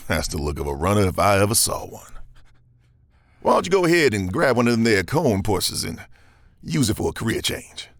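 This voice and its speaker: An actor playing a cocky, dangerous gunslinger in a Western audio drama, with a gravelly Southern American drawl and theatrical, taunting delivery. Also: male voice, fairly low pitch, big pitch swings, moderate pace, long pauses, no echo, studio quality. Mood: smug, needling.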